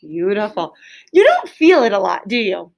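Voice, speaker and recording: A woman's voice: medium pitch, very animated, moderate pace, gravelly, darkish tone. A woman teaching an elementary class, quizzing pupils in a firm, directive manner. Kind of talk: classroom lesson with question and answer.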